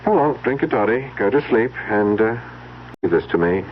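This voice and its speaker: A man acting a deep-voiced, suave scheming killer. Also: expressive, normal speed, lightly acted. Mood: cold, conspiratorial. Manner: hesitant, stammering politeness masking cold calculation. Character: scheming, murderous gentleman son, suave and falsely casual. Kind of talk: old-time radio mystery acting. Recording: noisy, narrow phone-like old radio recording.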